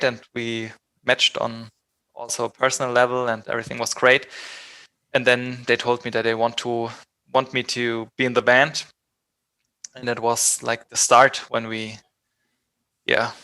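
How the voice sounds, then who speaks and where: A man's voice: mid-range voice, level tone, measured pace, bright, forceful tone, smooth. A man from southern Germany with a German accent, speaking casually as an interview guest. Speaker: band vocalist, interview guest.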